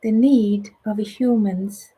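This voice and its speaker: A middle-aged woman with a Swedish accent, whose first language is Swedish, leading a guided meditation and spiritual teaching in a soft, soothing voice that often sinks to a whisper. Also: medium pitch, fairly even intonation, slow pace, slightly rough, lo-fi sound.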